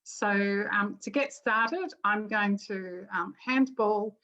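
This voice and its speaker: A woman's voice: mid-range voice, slow pace, bright, forceful tone. A woman hosting an online education session, chatty and full of ums and restarts. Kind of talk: casual spoken introduction. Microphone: video call. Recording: muffled video-call audio.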